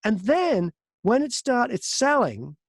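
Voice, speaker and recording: High-voiced man telling anecdotes. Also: sing-song, slow pace, vocal fry, no room sound, studio quality. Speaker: audiobook narrator and voice-over artist, interview guest. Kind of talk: casual interview anecdotes. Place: inside a wardrobe used as a home booth.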